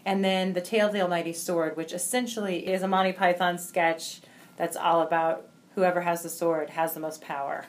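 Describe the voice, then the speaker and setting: A woman's voice: lowish voice, steady tone, normal speed, a little hiss or hum. A woman explaining things informally in an interview, as the organizer of a program.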